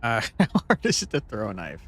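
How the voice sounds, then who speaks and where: A man's voice: on the low side, wildly swooping pitch, a little fast, fairly bright tone, slightly rough. A man giving casual, wry let's play commentary as he reacts to a game.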